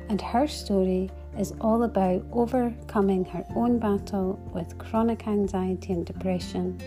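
A woman hosting a podcast, introducing and interviewing a guest in an encouraging way. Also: medium pitch. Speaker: podcast host.

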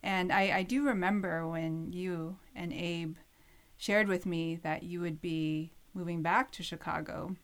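A woman's voice: lowish voice, fairly even intonation, a little slow. A woman hosting a podcast, delivering narration and interview questions.

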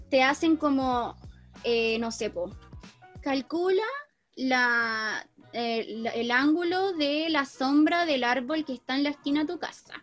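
Chilean lady, pretty high voice, chatty and slangy, explaining stuff casually, music around. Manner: informal, animated explaining with colloquial slang. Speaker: teacher. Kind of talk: casual discussion of a story.

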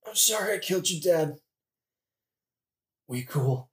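A man's voice: on the high side, expressive, a little slow. A man playing a dying young prince, sarcastic and crude, with slangy, melodramatic delivery in a modern comedic audio play. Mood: weary, dying. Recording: roomy, echoing recording.

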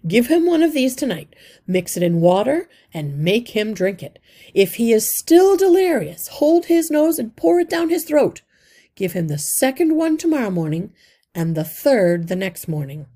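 A woman's voice: a little high, big pitch swings, normal speed, dark tone. A woman voicing a very old, wise male mouse healer with a kindly, authoritative manner for a children's storybook. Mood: firm, instructive. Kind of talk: children's storybook read-aloud.

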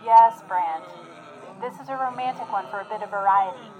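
A woman playing an exasperated, no-nonsense co-host in scripted comic radio banter, with a dry, brisk delivery. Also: medium pitch, darkish tone, lightly acted, low-quality recording. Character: exasperated, no-nonsense female co-host keeping order.

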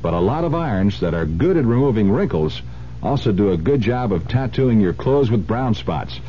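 A man's voice: on the low side, very animated, moderate pace, warm tone. An American man working as a spokesman, reading a scripted radio advertisement. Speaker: commercial spokesman. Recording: dull, noisy old radio recording with hum.

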